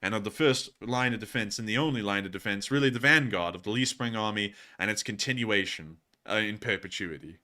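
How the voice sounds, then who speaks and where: A man's voice: lowish voice, fairly quick, few pauses, pushed and bright. A man from the United States with a tired voice, putting on a British accent as he hosts a livestream and answers viewers in wordy, emphatic, formal phrases. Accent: put-on British. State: fatigued voice. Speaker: livestream host of a news channel.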